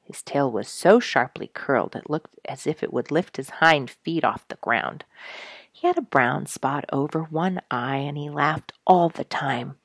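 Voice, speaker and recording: A woman reading a story aloud as a host, in measured, expressive storytelling phrases. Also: sits low, breathy edge, dry recording, studio quality. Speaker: story reader, show host. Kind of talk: scripted storybook narration read aloud.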